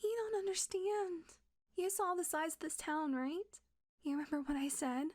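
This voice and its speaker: A woman acting a tense female vampire who switches between hushed whispers and cursing outbursts in an audio roleplay. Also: very high voice, steady tone, normal speed. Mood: tense, insistent.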